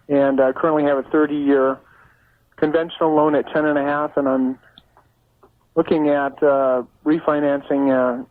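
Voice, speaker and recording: An American man calling a radio show, chatting casually in a polite, agreeable, slightly hesitant way. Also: a little high. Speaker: caller. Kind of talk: casual phone-in chat. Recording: tinny phone line on radio.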